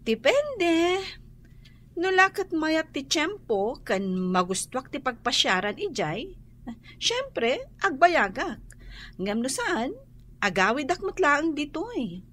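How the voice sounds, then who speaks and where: A woman's voice: sits high, sing-song, slow, a bit bright, a little hiss or hum, heavily acted. A woman with a Filipino accent playing an easygoing woman in a radio drama. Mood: breezy.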